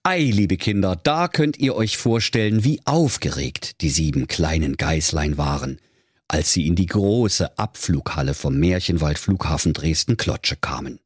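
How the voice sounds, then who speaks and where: A man's voice: deep voice, unhurried, slightly rough, no room sound, clean studio sound. A man telling a scripted comic fairy tale in a mock-solemn storybook manner.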